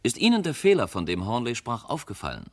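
A man's voice: mid-range voice, huge pitch swings, fast talker. A man presenting a scripted radio mystery as narrator, formal and courteous, addressing listeners and building suspense.

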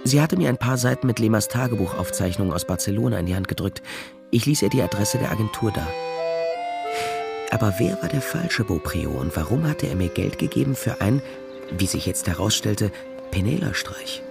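An actor playing a world-weary private detective who narrates a crime story in a measured, sombre radio drama style. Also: male voice, on the low side, sing-song, creaky, music in the background. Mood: reflective. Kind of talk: scripted first-person radio drama narration.

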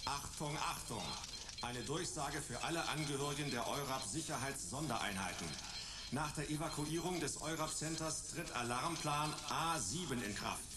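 A man playing an officious security announcer in a radio drama, giving formal, commanding orders over an echoing loudspeaker. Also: fairly high pitch, moderate pace, heavy background noise. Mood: stern, official.

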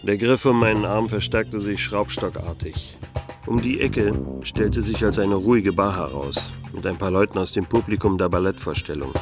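A man's voice: lowish voice, moderate pace, close to an everyday voice. A man playing a wry, hard-boiled private detective in a noir radio play, with a dry, sardonic delivery. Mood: brooding narration. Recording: music under the voice. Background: music.

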